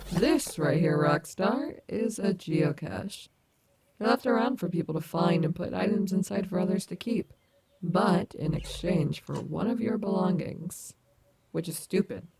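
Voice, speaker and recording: A woman's voice: on the low side, creaky, noisy background. A woman playing a cocky but caring animatronic wolf rock star in an ASMR audio roleplay, teasing and affectionate. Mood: curious, haughty.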